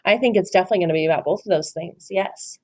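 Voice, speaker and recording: A woman's voice: fairly low pitch, racing. A woman teacher reading a picture book aloud to children, encouraging them and asking questions about the pictures.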